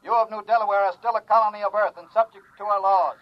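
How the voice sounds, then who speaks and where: An actor playing a cold, commanding colonial inspector speaking over a radio link in an old-time radio drama. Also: male voice, sits high, fairly even intonation, fast talker. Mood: domineering. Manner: stern, clipped, commanding, official. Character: cold, authoritarian male imperial colonial inspector. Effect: radio transmission. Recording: muffled, noisy old radio recording.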